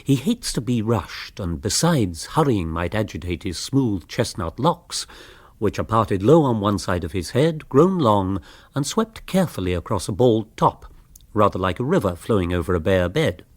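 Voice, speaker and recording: An urbane English author-narrator for a radio comedy, wry and gently mocking. The voice is fairly low and very expressive, in a close, dry recording. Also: male voice, moderate pace, no room sound. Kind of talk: scripted narration in comic radio drama.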